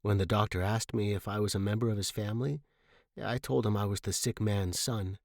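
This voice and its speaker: A narrator giving an expressive, theatrical first-person reading of fiction. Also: deep voice, brisk, no room sound, studio quality. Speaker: story narrator.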